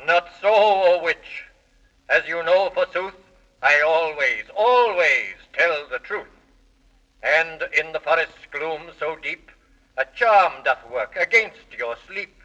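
A man's voice: on the high side, lively intonation, takes it slowly, pushed and bright, exaggerated voice. A man playing a solemn, truthful magic mirror, declaiming in archaic rhyme on a muffled 1930s children's radio serial. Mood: solemn, insistent.

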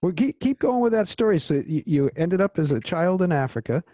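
A man hosts an interview in a friendly, eager way, often tripping over restarts. His voice is mellow and warm, recorded close and dry. He sounds keen to draw out his guest. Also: high-pitched, sing-song, fairly quick, dry recording. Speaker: podcast host. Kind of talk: interview hosting.

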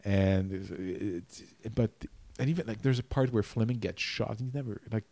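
A man's voice: lowish voice, moderate pace, mellow, no echo. A man co-hosting a casual film discussion, often breaking off and restarting his sentences mid-thought. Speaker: podcast co-host.

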